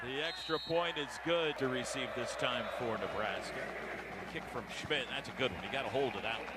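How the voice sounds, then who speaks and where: A man's voice: medium pitch, normal speed. An American man calling football live on radio as play-by-play announcer, in clipped phrases that turn urgent on big plays. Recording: roaring stadium crowd and heavy noise. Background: loud stadium crowd.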